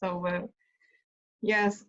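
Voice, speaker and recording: A woman in her forties from Moldova, speaking in a casual interview and pausing often with fillers. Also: medium pitch, little pitch movement. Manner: hesitant, with frequent uh fillers. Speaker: interviewer and online entrepreneur. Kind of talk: casual video chat interview. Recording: dull lo-fi sound.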